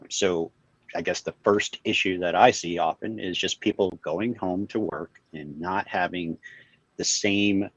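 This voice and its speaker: A man's voice: deep voice, breathy edge, dry recording. A man who runs an IT company, explaining at length in a casual panel discussion over a video call. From Virginia, USA. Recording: dull lo-fi online call audio.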